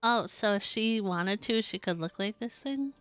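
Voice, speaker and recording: A woman's voice: mid-range voice, expressive, moderate pace, a bit bright, clean tone. A woman game streamer giving casual, chatty live commentary in a giggly manner. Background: game music.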